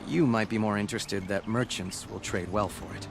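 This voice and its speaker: A man playing a humble young apprentice shaman in a video game, speaking in an earnest, measured and solemn way. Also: lowish voice, vocal fry, background noise. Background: game music.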